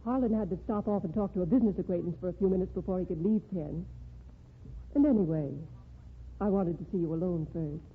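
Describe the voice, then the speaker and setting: An actress playing a timid, gentle woman who meets her former lover again, in an old radio drama. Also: lowish voice, quick pace, natural voice. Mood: sweet. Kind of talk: 1940s radio drama acting. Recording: tinny lo-fi old radio broadcast.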